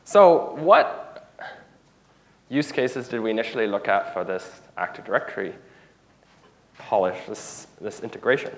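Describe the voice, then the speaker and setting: A man's voice: fairly low pitch, very animated, measured pace, long pauses, poor audio. A man giving a technical conference talk in a room, stuffed up from a cold, informal and often restarting phrases. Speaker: conference speaker.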